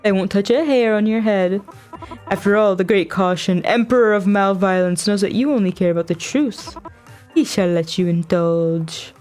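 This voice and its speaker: Woman voicing taunting game villain: medium pitch, smug, mocking, florid and theatrical. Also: moderate pace, darkish tone, faint music behind, close to an everyday voice. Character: smug, taunting villain toying with a captive investigator. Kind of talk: visual novel dialogue read aloud.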